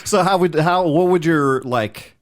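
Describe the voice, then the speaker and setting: A man co-hosting a podcast, prompting his partner with eager questions and quick affirmations. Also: fairly high pitch. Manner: eager interviewer, quick back-channel affirmations. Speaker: podcast co-host. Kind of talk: casual podcast chat.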